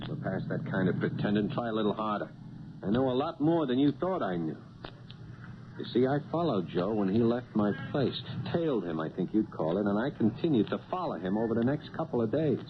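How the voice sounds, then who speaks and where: A nervy cab driver trying his hand at blackmail in a seventies radio drama. He talks like a folksy working man, hesitating as he presses. The old broadcast is muffled, with a loud vehicle rumble behind him. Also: big pitch swings, a little fast. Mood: confident, needling. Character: male cab driver turned nervy small-time blackmailer. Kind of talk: 1970s radio drama acting.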